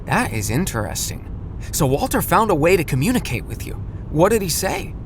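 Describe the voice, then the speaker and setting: A man playing an anxious, caring, gently chiding father in a children's audio drama. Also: on the high side, very animated, a little fast, a little hiss or hum. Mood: concerned.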